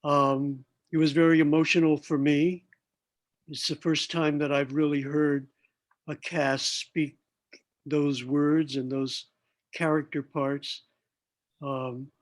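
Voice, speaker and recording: A man speaks as a playwright after a reading, sincere and measured. His pace is extremely slow and halting, and his voice sits slightly high. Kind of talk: sincere post-show remarks.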